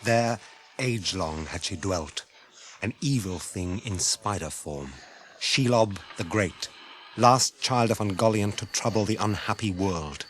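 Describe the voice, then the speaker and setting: An English actor as a grave storyteller, delivering portentous scripted narration of an epic fantasy for 1980s radio. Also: male voice, on the low side, unhurried, a bit bright, a little hiss or hum. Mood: grave, ominous.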